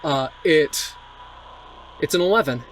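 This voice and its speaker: A man's voice: fairly high pitch, animated, a little slow, a little hiss or hum, poor audio. A man playing a tabletop game, narrating a character with earnest, dramatic and sometimes hesitant phrasing. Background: guitar music.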